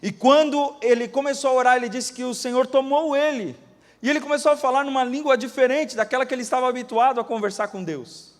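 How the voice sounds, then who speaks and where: A Brazilian pastor preaches in a high, bright voice, his words carried by the long ring of a church hall. He tells stories with drama, rising loud and then dropping to a hush as he acts out the voices of those he quotes. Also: steady tone, fast talker. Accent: Brazilian Portuguese. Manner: dramatic storytelling, acting out quoted voices, swinging from loud to hushed. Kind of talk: sermon.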